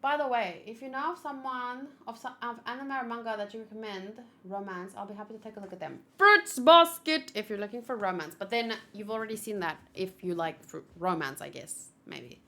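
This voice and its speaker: A woman's voice: on the high side, a little fast, hardly any pauses, bright, forceful tone, slight background noise. A young woman streamer chatting casually and rambling through a game reaction, with playful little exclamations. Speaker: gaming streamer. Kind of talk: casual livestream chat and reaction.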